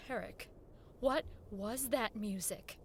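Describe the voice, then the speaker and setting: A woman's voice: fairly high pitch, slow pace, slight background noise. An actress playing an anxious, earnest woman who recounts a horrifying ordeal in an intense, theatrical audio drama style. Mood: earnest, apologetic. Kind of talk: dramatic first-person horror narration with acted dialogue. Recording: music under the voice. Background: dramatic music.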